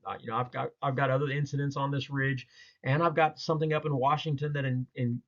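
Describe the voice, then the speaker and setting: A man hosting his own video, talking casually and storytelling as he explains pictures to viewers, in a rambling, halting way with repeated words. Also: mid-range voice, fairly even intonation, highs cut off. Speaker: host of Bigfoot research channel.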